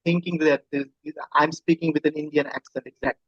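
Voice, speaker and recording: A man's voice: on the high side, fairly even intonation, fairly quick, no echo, dull audio. A man from Bangladesh with a Bangladeshi accent, a native Bengali speaker, talking casually as an interview guest and often repeating himself hesitantly.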